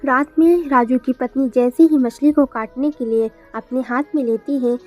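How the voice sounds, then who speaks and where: A woman's voice: sits high, steady tone, normal speed, faint music behind. A woman reading scripted narration for a moral story, as a storyteller.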